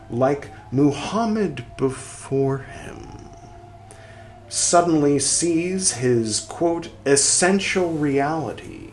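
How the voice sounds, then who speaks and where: A man hosting a podcast, reading a text aloud and speaking its punctuation, with quiet wry asides between passages. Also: medium pitch, slight background noise. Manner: reads slowly, speaking the punctuation aloud and trailing into whispered asides.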